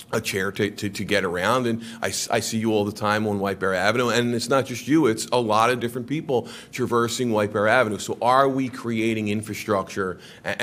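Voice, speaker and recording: A man's voice: fairly low pitch, fairly bright tone. A man on city staff giving an earnest presentation to a city council, with emphatic rises and frequent restarts. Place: council chamber.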